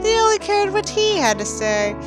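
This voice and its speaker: A woman's voice: very high voice, sing-song, moderate pace, over music, pitched above the performer's own voice. A woman voicing a bitter, self-righteous teenage boy in an overwrought, mock-dramatic audiobook reading, stretching words for emphasis. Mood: bitter, indignant.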